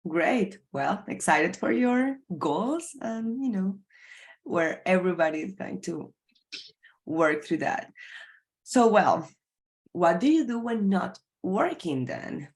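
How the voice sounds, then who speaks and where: Unhurried woman interviewer, warmly encouraging, laughs easily. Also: medium pitch, animated. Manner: encouraging, laughs often, fills with "um". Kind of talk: interview questions.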